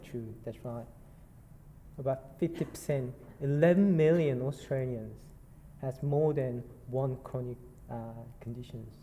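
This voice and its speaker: A man with a Korean accent speaks at a conference in a halting way, full of fillers. His voice is soft and mellow. It rings through a large reverberant hall over faint ambient noise. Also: mid-range voice. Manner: halting, self-deprecating, many fillers. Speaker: nurse presenter. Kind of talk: conference talk.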